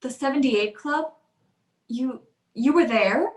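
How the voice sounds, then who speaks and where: A woman plays a terrified wife in an old-time radio thriller. Her voice is high, breathless and pleading. She falters as she speaks, fearing for her life. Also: slow, roomy sound. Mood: shaken, evasive.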